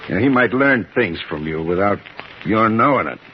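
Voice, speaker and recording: An actor playing a hard, vengeful gangster boss in a radio drama, tough, slangy and domineering. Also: male voice, lowish voice, animated, moderate pace, lightly acted. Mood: scheming, commanding. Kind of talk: 1950s radio drama acting. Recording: dull, noisy vintage 1950s radio recording.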